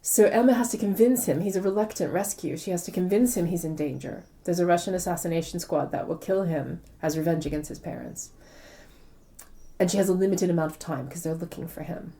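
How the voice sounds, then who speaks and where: A woman speaks as an interviewed author with a very mellow, warm tone. Her pitch sits a little low, and she explains her points articulately, one step at a time. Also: a little fast.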